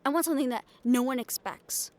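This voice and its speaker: A woman's voice: high voice, a little fast, darkish tone, close to an everyday voice. A woman playing a brash, cynical, foul-mouthed reporter in an improvised audio roleplay, with a blunt, sardonic manner. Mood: fed up, ranting.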